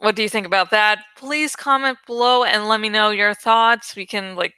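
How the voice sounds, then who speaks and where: A woman's voice: medium pitch, normal speed, bright, forceful tone, clean tone, dry recording. A woman hosting a reading channel, chatting casually to her viewers in a playful, joking way and swearing now and then. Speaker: host of a dramatic book-reading channel.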